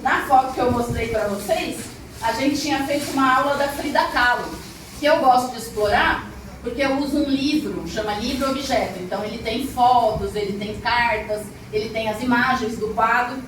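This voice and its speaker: A woman's voice: fairly high pitch, normal speed. A middle-aged woman, a storyteller and arts teacher, telling stories expressively and chatting directly with a live audience. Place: echoey room with a live audience. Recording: echoey room, distant mic, noisy ambience.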